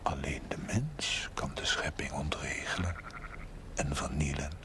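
A stern, pious philosopher of a mentor lectures in a deep, whispery voice. His delivery is slow, formal and measured. The 1970s television drama soundtrack is heavily noisy. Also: expressive, exaggerated voice. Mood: stern, lecturing. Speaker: actor in a television drama series. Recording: 1970s TV soundtrack with loud noise.

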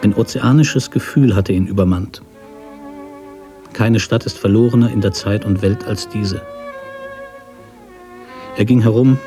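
A man narrating literary prose for a radio drama in a measured storytelling manner. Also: lowish voice, mellow.

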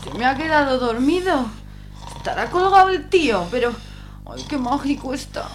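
Girl doing a whiny prudish girlfriend, high lively voice, spain accent, radio comedy. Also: normal speed, very noisy. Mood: fond. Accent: Spanish, from Spain. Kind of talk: comedic radio serial acting.

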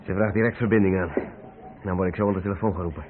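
Low-voiced, steady lorry driver, acted by a man, tinny recording. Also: very fast, slight background noise, natural voice. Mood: calm, admonishing. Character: Dirk, steady, cautious older lorry driver. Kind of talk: Dutch radio play acting. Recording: tinny, band-limited old radio recording.

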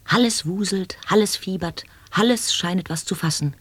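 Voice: medium pitch, moderate pace, fairly bright tone, breathy edge, no echo. An actor performing an intent reciter of tongue-twisting absurd word games in a radio play, declaiming with precise rhythm. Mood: rhythmic, precise. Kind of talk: avant-garde radio play recitation of nonsense wordplay.

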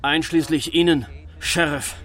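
An actor playing an anxious, urgent go-between in a German radio drama, speaking in a pressing, insistent way. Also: male voice, fairly high pitch, steady tone, a little slow, a bit bright. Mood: urgent, warning. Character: anxious, urgent male go-between warning of danger.

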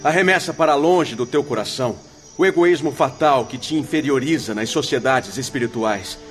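A man's voice: mid-range voice, normal speed, lightly acted. An actor playing a solemn male spirit who exhorts in declamatory radio drama style. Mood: fervent, solemn. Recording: background music under the voice.

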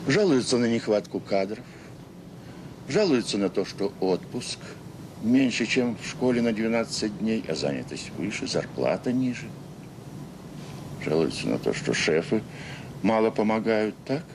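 A man's voice: sing-song, a little slow. A man acting in an audio drama as a self-assured, sarcastic education official with an oratorical, condescending manner. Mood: condescending, brisk. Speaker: conference speaker. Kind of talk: radio drama acting, formal speech. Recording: noisy 1980s audio play with rumble.

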